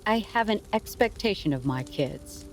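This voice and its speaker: A woman's voice: mid-range voice, expressive, moderate pace, a little hiss or hum, lightly acted. An actress playing a demanding, ambitious middle-aged mother in an audio drama, with an insistent, pointed delivery. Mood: frustrated, insistent.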